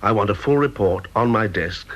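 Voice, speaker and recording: A cold, officious senior British government scientist in an old radio drama. His voice is low and husky, with clipped, sarcastic delivery. The recording is dull and muffled. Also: expressive, normal speed. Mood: angry, scornful. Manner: clipped, interrogating, sarcastic, reprimanding.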